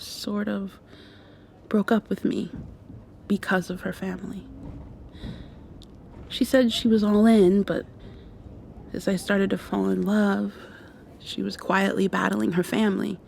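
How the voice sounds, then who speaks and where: A shy, tender young woman, acted out by a woman in an intimate ASMR roleplay, confiding slowly and haltingly in a soft, often whispered voice. It is mellow, with plenty of fry at the edges. Some noise sits behind her. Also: medium pitch. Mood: wistful, hurt. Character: shy, tender young mosquito girl confessing past heartbreak to girlfriend.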